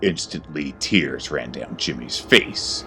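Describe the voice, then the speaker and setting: Very deep, forceful male horror narrator. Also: expressive, takes it slowly, faint music behind. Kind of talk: scripted horror story narration.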